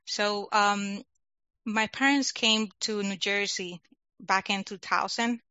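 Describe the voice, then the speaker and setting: A woman's voice: medium pitch, fairly even intonation, slow pace, pushed and bright, clear, resonant tone, no echo. Woman, young adult, Dominican accent, chatty, laughs a lot, dry, slightly dull recording. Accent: Dominican Spanish. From Dominican Republic. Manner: laughs often, talkative, restarts phrases. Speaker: guest, product manager. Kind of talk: casual interview chat.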